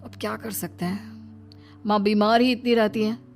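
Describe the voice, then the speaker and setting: A woman voicing a young husband, a dutiful son who explains things in a calm, reassuring way. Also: medium pitch, normal speed, soft background music, natural voice. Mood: placating.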